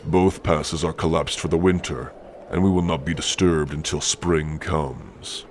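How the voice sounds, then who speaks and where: Hulking orc captor: extremely low voice, slow menacing delivery, archaic phrasing, fantasy ASMR roleplay. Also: male voice, steady tone, a little mellow, slight background noise. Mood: formal, reporting. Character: hulking male orc warrior, stern, menacing, darkly amused captor.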